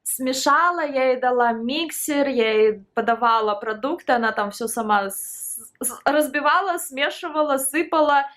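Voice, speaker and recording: Chatty lady vlogging at home, bit of a high voice, talks on and on, laughs a lot. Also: normal speed, fairly bright tone. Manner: chatty and rambling, with frequent laughs. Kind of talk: casual home vlog chat. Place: at home, indoors.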